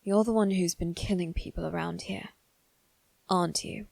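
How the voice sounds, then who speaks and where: A woman voicing a guarded, haughty young noble boy in a scripted ASMR roleplay, with measured, formal phrasing. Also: fairly low pitch, fairly even intonation, vocal fry, a little mellow, dry recording. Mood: accusing, probing. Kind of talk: ASMR roleplay, one-sided scripted dialogue.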